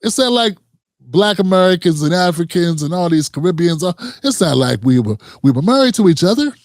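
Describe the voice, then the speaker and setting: Commentary host, high male voice, heated and profane, dry close sound. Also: big pitch swings, a little fast, a little mellow, no room sound. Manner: heated, emphatic, profane ranting; addresses viewers directly. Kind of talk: reaction commentary.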